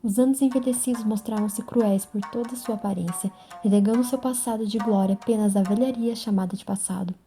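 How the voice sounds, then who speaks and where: Female drama narrator, Brazilian accent: very dark, soft-edged timbre, medium pitch, literary delivery, faint music underneath. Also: steady tone, fairly quick, hardly any pauses.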